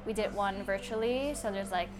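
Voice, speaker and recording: A woman's voice: mid-range voice, normal speed. Bubbly young woman, Thai native speaker. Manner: bubbly, chatty, many fillers. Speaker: guest singer. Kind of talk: interview answers.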